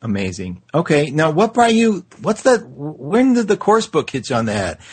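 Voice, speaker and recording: An enthusiastic man hosting an interview over a remote call, eager and jumping quickly between questions. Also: fairly high pitch, huge pitch swings, slightly rough, dry recording. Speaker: host. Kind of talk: excited interview chat.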